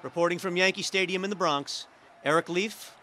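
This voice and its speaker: A man's voice: sits high, brisk, bright, forceful tone, a little hiss or hum. A man reading scripted narration for a news feature as a reporter.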